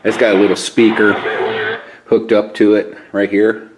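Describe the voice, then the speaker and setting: A man's voice: on the low side, flat delivery, normal speed. An American man in his fifties giving a casual hands-on demonstration on a camera microphone in a garage workshop. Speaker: DIY video host. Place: small cluttered garage workshop. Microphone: camera microphone at arm's length. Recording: handheld camera, clear background noise.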